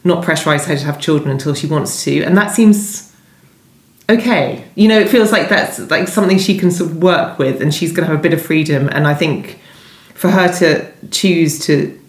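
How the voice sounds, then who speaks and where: Low-voiced woman in a roomy space. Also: moderate pace. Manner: discursive, thinking aloud, rephrasing as she goes. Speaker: novelist being interviewed about her book. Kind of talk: conversational interview answers. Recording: roomy, echoing indoor sound.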